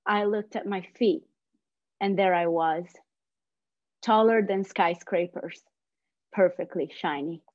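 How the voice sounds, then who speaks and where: Slow Italian-accented woman on dull, dry audio. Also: fairly low pitch. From Italy. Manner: slow, careful, gentle reading. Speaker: project coordinator reading a poem. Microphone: video call.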